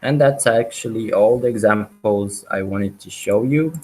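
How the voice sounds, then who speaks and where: A man teaching a programming webinar, walking through live code with many pauses, ums and restarts. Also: on the low side, darkish tone, breathy edge. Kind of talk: live coding walkthrough.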